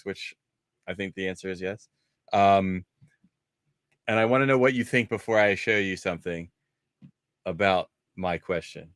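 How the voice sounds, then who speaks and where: A man's voice: on the low side, fairly bright tone, no room sound. A man talking casually as a panelist on a movie discussion show, often hesitating and trailing off.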